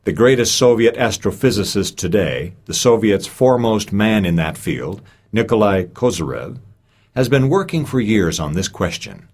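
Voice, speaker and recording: A man reads a prepared scholarly speech in a rather low, husky voice. His intonation moves widely and with animation. He leans on key words in a rhetorical, emphatic way, as a lecturer making an argument. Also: expressive, moderate pace, darkish tone. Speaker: reader of a recorded speech. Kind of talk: scripted reading of a scholarly lecture.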